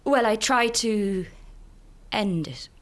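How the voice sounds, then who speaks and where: A woman playing a drained, withdrawn young woman, terse and deadpan, in an understated radio drama. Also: mid-range voice, slow pace.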